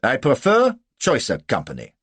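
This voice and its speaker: A male reader plays a cold, pompous Prussian officer. His speech is formal and menacing, swinging widely in pitch, with a rough grain to the voice. He is an audiobook villain who demands respect. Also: huge pitch swings, moderate pace, a bit bright, dry recording, full character voice. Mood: cold menace.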